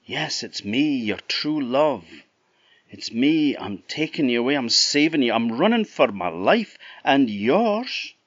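A man's voice: mid-range voice, sing-song, slow, a bit bright, no room sound, muffled recording, voice pushed up, heavily acted. A man voicing a young woman turned into a mule, speaking in an urgent, determined way.

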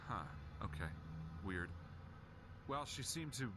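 An actor playing a calm, dryly sarcastic male police officer in an audio drama. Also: mid-range voice, slow pace.

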